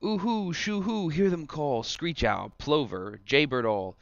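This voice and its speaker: A man's voice: a little high, measured pace, gravelly, dry recording, lo-fi sound. A man playing a boisterous, commanding devil guide, theatrically declaiming verse in an amateur dramatic reading. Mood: wondering.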